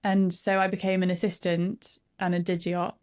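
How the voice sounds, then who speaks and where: Chatty woman, low-pitched. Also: monotone, moderate pace, a little mellow, smooth. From northern England. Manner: chatty, laughs often, lots of fillers. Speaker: photographer guest. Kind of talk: casual podcast interview.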